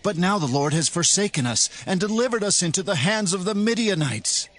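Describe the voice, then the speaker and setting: A high male voice with lively swings , an actor's, as a humble, doubting Israelite. He pleads earnestly in archaic scripture drama. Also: a little fast. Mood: troubled, questioning.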